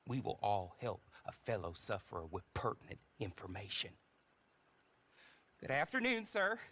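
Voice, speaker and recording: A genteel frontier stagecoach agent, lays on formal, mannered courtesy with a wry edge. His voice sits a little low for a man. It is a video game cutscene role. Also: wildly swooping pitch, normal speed, pushed and bright, slightly rough, heavily acted. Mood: wry courteous.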